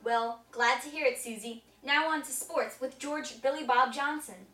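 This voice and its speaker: A woman's voice: high voice, moderate pace, bright, forceful tone. A young woman co-anchoring an amateur mock newscast in a theatrical, scripted style. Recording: echoey room, distant, some noise.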